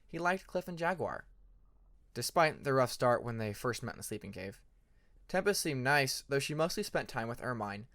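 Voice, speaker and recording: A man's voice: medium pitch, vocal fry, fairly bright tone, dry recording, clean studio sound. A man reading scripted fantasy fiction as an audiobook narrator, in an earnest, dramatic storytelling manner.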